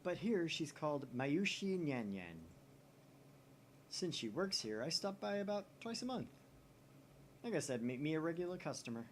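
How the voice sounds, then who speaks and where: A man's voice: fairly high pitch, sing-song, normal speed, pauses often, a little hiss or hum. A man co-hosting a game stream, reading visual novel narration aloud with joking asides. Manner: jokey, reads narration with dry asides.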